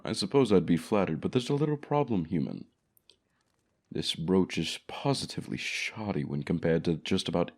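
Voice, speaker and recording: A man voicing an ancient, lonely, haughty male dragon in a fantasy audio roleplay, speaking in a formal, measured, courteous way. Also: low-pitched, darkish tone. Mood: amused disdain.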